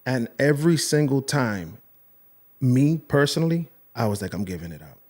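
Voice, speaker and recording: A man's voice: mid-range voice, expressive, normal speed. A young man hosting a podcast, speaking earnestly and emotionally in a rambling casual chat with many restarts. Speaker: podcast host.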